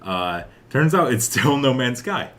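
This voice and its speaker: A man's voice: medium pitch, sing-song, unhurried, fairly bright tone, slight background noise. A young man hosting a podcast, chatting casually and rambling about with lots of filler words. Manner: rambling, peppered with "like" and "uh". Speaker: podcast host. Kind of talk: casual chat about video game news.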